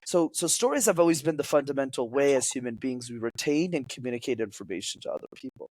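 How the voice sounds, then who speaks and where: A young man with French as his first language talks through his points as a public speaking coach, lively and teacherly. His voice sits at an ordinary middle pitch. It is recorded close and dry, with no room echo. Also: fast talker. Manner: energetic, explanatory, teacherly. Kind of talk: podcast interview guest.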